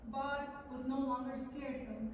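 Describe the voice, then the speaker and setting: A woman's voice: high-pitched, steady tone, a little slow, a little mellow. A woman narrating a scripted story as a staged reading in a theatre. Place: large theatre stage, indoors. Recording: echoey theatre hall, distant, faint noise.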